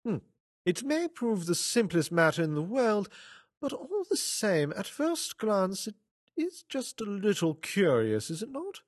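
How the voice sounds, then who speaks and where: A man reading an audiobook voices a keen, dry middle-aged detective in a measured, musing way. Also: sits high, wildly swooping pitch, no room sound, pitched above the performer's own voice, heavily acted.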